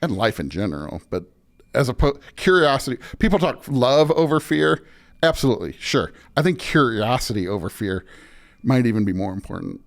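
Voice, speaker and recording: An American man, a pastor, in casual reflective conversation as a podcast guest, halting as he searches for words. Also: medium pitch, big pitch swings.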